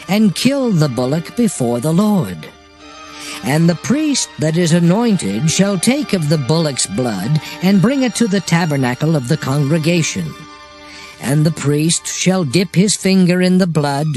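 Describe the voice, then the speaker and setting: A man narrating an audiobook Bible in a formal, measured, solemn scripture-reading style. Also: fairly high pitch, a little mellow.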